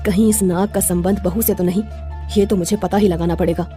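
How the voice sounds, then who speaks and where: A woman's voice: lowish voice, fairly even intonation, a little fast, dark tone, soft background music, lightly acted. A woman voicing an anxious, suspicious middle-aged mother-in-law in a dramatic moral story.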